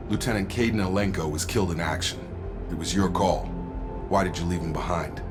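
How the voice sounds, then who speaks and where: An actor playing a loyal, straightforward soldier in a video game, earnest and plainspoken. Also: male voice, sits low, brisk, loud music behind the voice, lightly acted. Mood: sincere. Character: loyal, straightforward male soldier of a covert organization. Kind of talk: video game cutscene acting.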